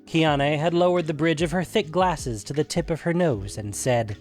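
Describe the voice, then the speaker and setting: A man's voice: on the high side, moderate pace, darkish tone, faint music behind. A man hosting and narrating a scripted fantasy audio story. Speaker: narrator and podcast host.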